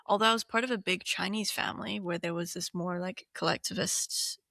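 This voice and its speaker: A woman's voice: fairly low pitch, fairly even intonation, fairly quick, gravelly, sharp tone, dry recording, clean studio sound. A woman in her thirties from Hong Kong co-hosting a podcast, chatting casually with lots of fillers. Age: 30s. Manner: chatty, many fillers, laughs often. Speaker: podcast co-host. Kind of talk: casual chat.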